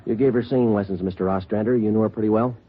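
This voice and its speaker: An actor playing a businesslike, persistent police sergeant with a clipped, deadpan manner in a 1950s radio drama. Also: male voice, lowish voice, rapid-fire, lightly acted. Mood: probing. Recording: dull old radio recording, faint music.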